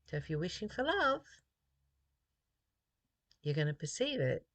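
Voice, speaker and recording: An earnest speaker from Australia reads a spiritual text aloud at a slow, deliberate pace. The sound is dry and close, with little room around it. Personal reflections are woven between the read passages. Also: mid-range voice, very animated, a bit bright, no room sound. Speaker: host reading and commenting on a spiritual text.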